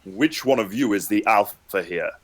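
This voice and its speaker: A man's voice: fairly low pitch, normal speed, lightly acted. A man voicing a possessed male fairy who has turned cold and threatening. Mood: menacing. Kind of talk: amateur online table read.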